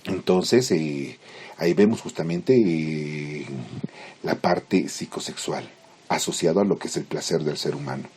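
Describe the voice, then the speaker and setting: A man lecturing in Spanish as a teacher, explaining theory informally in halting, didactic phrases with check-in questions. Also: a bass voice, darkish tone, breathy edge. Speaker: psychology lecturer.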